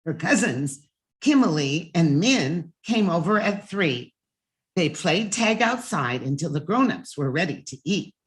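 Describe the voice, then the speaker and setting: A woman reading a children's bedtime story aloud in an expressive, emphatic way with deliberate pauses. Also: on the low side.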